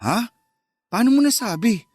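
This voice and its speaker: An actor playing a cocky, flirtatious secret lover in a Tagalog radio drama, casual and coaxing. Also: male voice, very high voice, very animated, moderate pace, darkish tone, husky, dry recording, exaggerated voice. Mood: probing, unworried.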